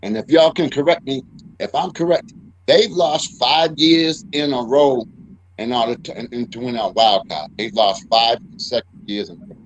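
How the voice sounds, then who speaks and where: A male sports panelist giving a hurried, blunt verdict in casual talk. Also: medium pitch, rough recording.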